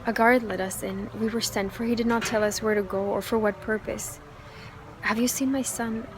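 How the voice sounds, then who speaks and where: A woman's voice: on the high side, steady tone, moderate pace, over music, natural voice. A woman playing an anxious, humble mother searching for her son, pleading deferentially in a dramatic reading. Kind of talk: dramatic reading of a church passion play.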